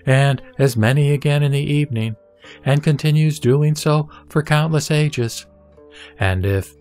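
Man acting a serene, deliberate holy teacher. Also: medium pitch, a little mellow, close to an everyday voice. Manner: measured, deliberate, preaching in short phrases. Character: the Buddha, serene solemn male teacher instructing a disciple. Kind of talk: scripted sutra recitation, audio drama. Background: music.

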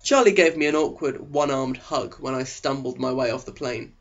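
A man's voice: mid-range voice, normal speed. A man narrating an audiobook in a sarcastic, melodramatic way. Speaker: audiobook reader. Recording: dull, lo-fi sound.

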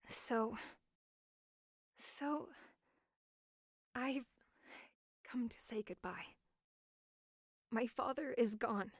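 A woman's voice: on the high side, extremely slow, long pauses, no room sound, studio quality. A woman voicing a timid, earnest young wooden robot girl in a cartoon style, with a hesitant, emotional delivery. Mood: heartbroken, resigned. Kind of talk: animated character demo reel acting.

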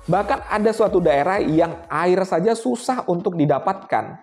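A man's voice: high-pitched, expressive, normal speed, soft background music. A man, explaining things conversationally to camera as a host and addressing viewers directly. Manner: conversational, addresses viewers as friends with rhetorical questions. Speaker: air traffic controller and channel host. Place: small indoor room, studio-like set. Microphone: clip-on lapel mic.

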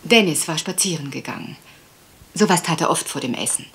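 Low-voiced, troubled genteel lady. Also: expressive, brisk, a bit bright, slight background noise. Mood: recounting, uneasy. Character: troubled, wistful genteel woman of a manor house. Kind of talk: German radio crime drama acting.

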